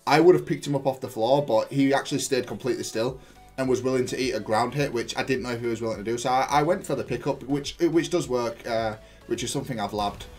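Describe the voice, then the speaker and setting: A man's voice: mid-range voice, quick pace, a little mellow, faint music behind. A man giving explanatory gameplay commentary as a player, thinking aloud through his match in gaming jargon. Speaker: gaming YouTuber and player analysing own match.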